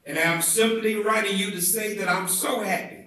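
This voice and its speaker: A man giving a dramatic, oratorical reading of a speech, with deliberate, measured phrasing and emphatic swells. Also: high-pitched, fairly even intonation, bright, forceful tone. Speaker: reader at a commemorative event. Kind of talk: dramatic reading of a historic civil rights speech. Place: indoor theatre event, heard from a distance. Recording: echoey indoor hall, far from the mic.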